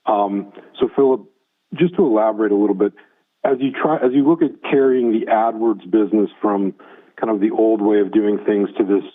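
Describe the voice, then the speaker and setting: A man's voice: lowish voice, a little fast. A man asking a conversational question as a financial analyst on an earnings call, over a phone line. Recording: thin narrowband phone line.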